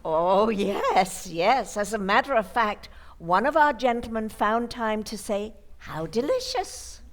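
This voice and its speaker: A woman's voice: mid-range voice, expressive, takes it slowly. A woman playing a sweet elderly spinster aunt in an old-time radio comedy, genteel and matter-of-fact as she chides. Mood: sweetly matter-of-fact.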